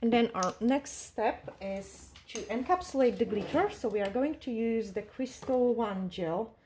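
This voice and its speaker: Woman nail tech, mellow tone, calm step-by-step tutorial delivery with fillers. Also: a little high, unhurried, a little hiss or hum. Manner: calm, instructive, with frequent fillers and non-native grammar. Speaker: nail technician teaching a tutorial. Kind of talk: step-by-step tutorial narration while working. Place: nail salon desk.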